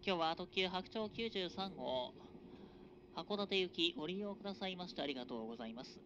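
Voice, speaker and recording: A train conductor making formal, measured announcements over an express train's public address system. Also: male voice, sits high, lively intonation. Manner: formal, measured announcer cadence with drawn-out phrases. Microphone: train PA system recorded from a passenger seat. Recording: dull, noisy onboard PA recording.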